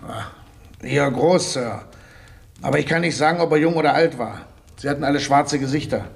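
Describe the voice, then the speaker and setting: A man's voice: fairly high pitch, brisk, creaky. A man playing a talkative, respectful witness reporting to his superiors in a German radio play. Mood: eager, respectful.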